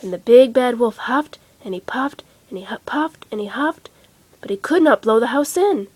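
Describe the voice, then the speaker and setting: A woman storyteller with a high, animated voice reads bedtime tales to children. Her phrasing is dramatic and emphatic, and the recording is close and dry. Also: moderate pace, breathy edge, no room sound.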